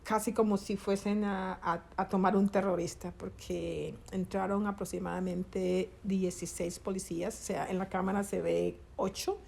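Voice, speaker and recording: Colombian woman with a gravelly voice. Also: medium pitch, level tone, darkish tone. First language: Spanish. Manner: halting, searching for words, restarts. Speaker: interviewee, grieving mother. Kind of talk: conversational interview.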